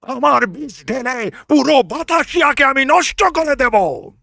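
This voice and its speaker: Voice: high-pitched, expressive, vocal fry, bright, forceful tone, exaggerated voice. An actor voicing a gloating serpent king villain in a cartoon, drawing out taunting threats. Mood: gloating menace. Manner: drawn-out, taunting.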